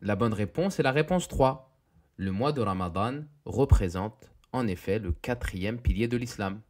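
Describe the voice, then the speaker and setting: A man narrating a scripted educational quiz, reading questions and answers in a deliberate, didactic way. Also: on the low side, animated, a little mellow.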